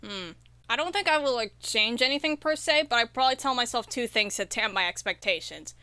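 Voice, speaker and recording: A woman's voice: on the high side, lively intonation, brisk, bright, forceful tone, clean tone, no room sound. A woman guesting on a podcast as an indie game developer, chatting casually in a slangy, emphatic way. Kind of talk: casual interview chat.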